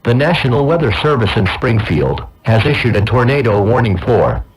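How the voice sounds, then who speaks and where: A man's voice: medium pitch, big pitch swings, normal speed, a little hiss or hum, low-quality recording. Filtered male weather alert announcer. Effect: filtered alert radio effect. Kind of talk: formal scripted emergency weather warning.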